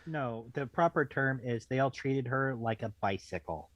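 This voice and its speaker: A man's voice: mid-range voice, normal speed, lo-fi sound. A man chatting casually as a co-host, tossing in short interjections.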